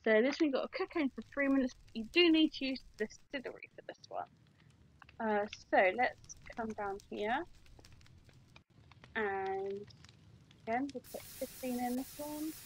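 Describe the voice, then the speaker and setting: A woman's voice: a little high, unhurried, long pauses, slightly rough, rough recording. A woman talking through a game tutorial step by step, casual and chatty, recorded at her computer. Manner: chatty, explains step by step with fillers.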